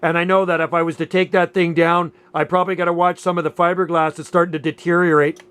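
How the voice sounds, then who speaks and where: A man's voice: a little high, level tone, fast, heavy background noise. A man in his late fifties chatting casually as a radio operator, with folksy, easygoing phrasing. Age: late 50s. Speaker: amateur radio operator and channel host. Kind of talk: casual two-way radio chat.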